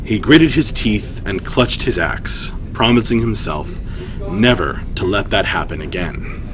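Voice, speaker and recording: A man's voice: fairly low pitch, expressive, unhurried, background noise. A man hosting a live story reading, narrating and chatting casually with hesitant, self-deprecating asides. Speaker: storytime host and reader.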